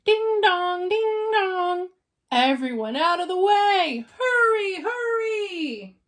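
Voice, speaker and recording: Excited firemen from a children's storybook , acted out by a woman reader, shout with urgency. The voice jumps very high and swings widely, exclaiming in alarm. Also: takes it slowly, full character voice. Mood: urgent, alarmed. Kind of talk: children's storybook read-aloud.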